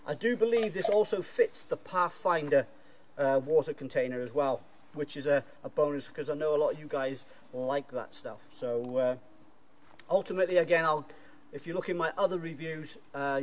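A man's voice: fairly high pitch, normal speed. A middle-aged man chatting enthusiastically to camera while reviewing camping gear outdoors in a woodland. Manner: chatty, enthusiastic, folksy with fillers. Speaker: bushcraft reviewer.

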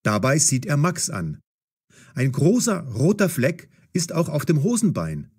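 A man's voice: fairly high pitch, huge pitch swings, unhurried, a little mellow, husky. A man narrating a scripted story for language learners in short, dramatic sentences.

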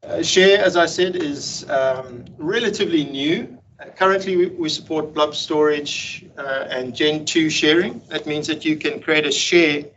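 A man gives a technical walkthrough of software features from a home room on a video call, pausing often with ums and restarting sentences. His voice sits a little higher than most men's. The computer-mic audio is dull and lo-fi, with a faint hiss underneath. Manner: relaxed, explanatory, frequent ums and restarts. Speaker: meetup presenter. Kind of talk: technical presentation over slides.

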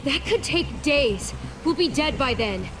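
An actress playing a level-headed survivor in a video game, speaking firmly. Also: female voice, high voice, lively intonation, unhurried, background noise. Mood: urgent, firm.

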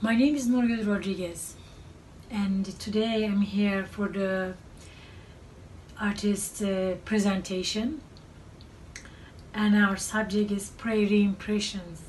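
A middle-aged woman with a Turkish accent, originally from Turkey and a native Turkish speaker, giving a presentation about her work as an artist. She speaks slowly and haltingly and often pauses to search for words. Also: mid-range voice, steady tone, darkish tone. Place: cluttered art studio. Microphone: far camera. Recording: distant mic, faint room noise.

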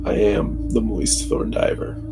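A man's voice: on the low side, unhurried, gravelly, over music. A man playing in a tabletop game, wry and joking with his friends. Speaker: player. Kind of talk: casual chat at a game table.

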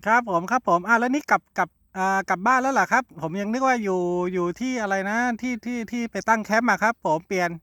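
High-voiced man talking on amateur radio. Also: fast talker, hardly any pauses, sharp tone. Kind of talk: casual amateur radio exchange. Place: outdoors in a garden.

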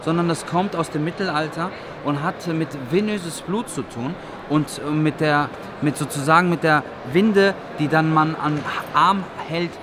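A man in his thirties, a barber, giving an excited, chatty vlog tour at a trade fair. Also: on the high side, normal speed, background noise. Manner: upbeat, enthusiastic, chatty. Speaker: vlogger and barber. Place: busy indoor convention hall. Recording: crowd chatter behind.

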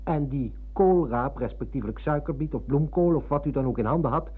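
A man's voice: medium pitch, brisk, husky, lightly acted. An actor playing a formally polite, pedantic man who coolly probes and needles like an interrogator, in a Dutch radio drama. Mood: needling. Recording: muffled, dull old radio recording.

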